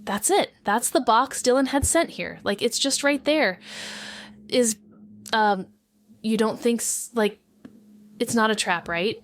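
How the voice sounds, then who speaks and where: An actress playing a cautious, observant amateur sleuth in a noir audio drama, with dry sarcasm and nervous hesitation. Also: female voice, fairly high pitch, measured pace, long pauses. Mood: hesitant, focused. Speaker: actor in a podcast drama.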